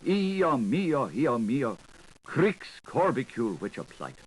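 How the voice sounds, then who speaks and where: A man narrating a literary audiobook in an expressive, theatrical way, playful with wordplay and sound effects. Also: mid-range voice, slow pace, husky, poor audio. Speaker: audiobook reader. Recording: 1980s audiobook recording with audible background noise.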